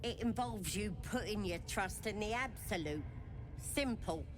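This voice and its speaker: Woman playing a slow-drawling menacing goblin priestess. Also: mid-range voice, expressive, background noise, lightly acted. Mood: coaxing, sly. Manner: crude slangy speech, taunting. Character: menacing female goblin priestess, crude cultist, cruel and possessive. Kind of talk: video game voice acting.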